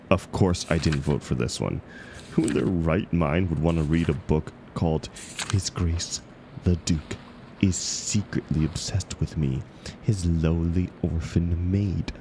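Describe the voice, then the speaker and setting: Background noise sits under a very low, creaky male voice. A man acts out a grumpy book club member in an audio roleplay, sarcastic and indignant, with pitch that swings widely as he rants. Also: takes it slowly. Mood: scornful, dry. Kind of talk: scripted audio roleplay acted as one side of a conversation.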